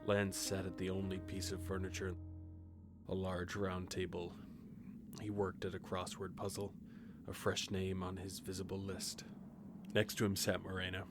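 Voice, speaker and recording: An actor playing a centuries-old grim reaper, narrating an audio drama in a measured, detached, solemn way. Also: male voice, sits low, monotone. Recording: music behind the voice. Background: music with guitar.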